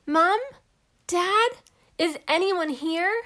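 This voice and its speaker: A woman's voice: very high voice, drawn-out words, fairly bright tone, pitched above the performer's own voice, exaggerated voice. A woman voicing a plucky, lonely young boy in a storybook, with earnest, childlike phrasing. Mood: hopeful.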